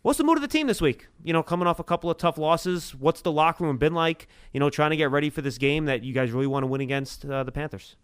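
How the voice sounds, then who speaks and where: A man's voice: on the high side, expressive, quick pace, hardly any pauses, clean tone, no room sound, clean studio sound. A man hosting a sports podcast, introducing segments and putting questions to his guest. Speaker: podcast host and interviewer.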